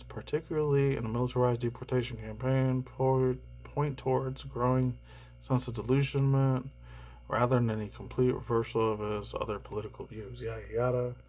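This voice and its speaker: A man rambling through casual news commentary, swearing often and laughing between remarks, his voice slightly raspy and tired. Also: mid-range voice, a little mellow. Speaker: commentary YouTuber.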